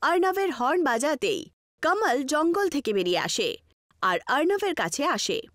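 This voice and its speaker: A woman's voice: high-pitched, big pitch swings, unhurried, fairly bright tone, no room sound. A woman reading scripted narration for a horror story as its storyteller.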